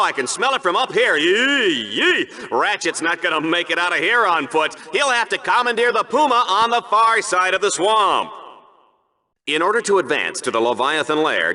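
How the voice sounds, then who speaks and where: Brash, high-pitched, hammy sportscaster. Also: male voice, pushed and bright, exaggerated voice. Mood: mock delight. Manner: bombastic showman delivery. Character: brash, hammy male game-show sportscaster, gleefully bloodthirsty. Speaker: arena show commentator. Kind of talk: sports commentary in a video game.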